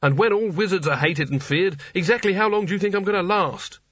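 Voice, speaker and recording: A man's voice: a little high, sing-song, normal speed, no room sound, dull audio. A man voicing a gloomy, weary, cowardly failed wizard in a storybook, dry, sardonic and irritable. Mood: snapping, bitter.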